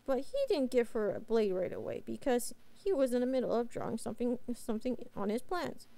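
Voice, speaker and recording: A woman's voice: fairly high pitch, animated, a little fast, gravelly, warm tone. A woman playing a cute, devoted young catgirl in a bedtime roleplay, speaking in a hesitant, tender way. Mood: narrating.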